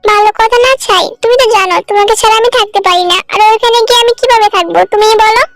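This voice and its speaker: Devoted, needy bird husband, played by a woman, plaintive and very high-pitched, for a kids' cartoon. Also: fairly even intonation, rapid-fire, a bit bright, soft background music. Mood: glum, reluctant.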